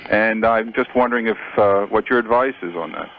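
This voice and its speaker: A man's voice: on the low side, a little fast, creaky, slight background noise. A man calling a radio show, asking polite, tentative questions in a casual way. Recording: dull lo-fi radio call.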